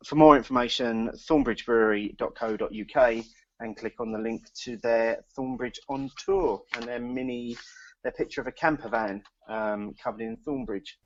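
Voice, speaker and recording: A man's voice: lowish voice, dry recording, highs cut off. A man co-hosting a podcast, reading the news and chatting casually with many ums and restarts. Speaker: podcast co-host.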